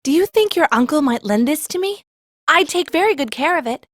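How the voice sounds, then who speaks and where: An actress playing a bright, earnest, blunt young girl mage in an audiobook. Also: sits high, lively intonation, a little fast, no echo, studio quality. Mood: eager.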